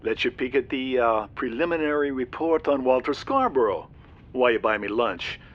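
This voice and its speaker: A man's voice: medium pitch, animated, moderate pace, lightly acted. A man playing a sly, teasing, self-satisfied colleague in a radio drama, with a drawling, needling delivery. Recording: dull lo-fi sound.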